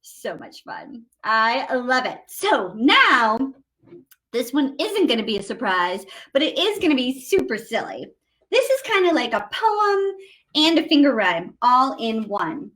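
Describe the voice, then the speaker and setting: A woman's voice: high voice, sing-song, unhurried, fairly bright tone. A woman hosting a live story time for children, reading and singing in a playful, exaggerated sing-song way and talking straight to the kids. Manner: addresses young children directly with questions. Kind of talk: live read-aloud and sing-along for kids.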